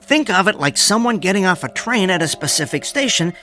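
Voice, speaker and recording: A mild, eccentric man of science, played by an actor in a radio drama. He is hesitant and earnest, stammering as he explains, with lively swings of pitch and faint music behind him. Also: brisk, heavily acted. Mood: earnest, pleading. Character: eccentric, mild-mannered male amateur scientist, henpecked husband.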